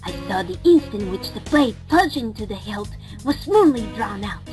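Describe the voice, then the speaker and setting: A woman playing a chatty, excitable, evasive witness in a courtroom game fandub. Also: medium pitch, moderate pace, soft background music, close to an everyday voice. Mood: oblivious. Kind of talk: anime game fandub acting.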